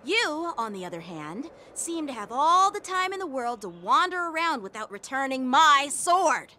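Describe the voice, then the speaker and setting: A woman's voice: high voice, big pitch swings, normal speed, sharp tone, full character voice. A woman voicing an earnest, hot-tempered young male knight lieutenant in a game, with background music under the lines. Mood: haughty. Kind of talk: game voice acting.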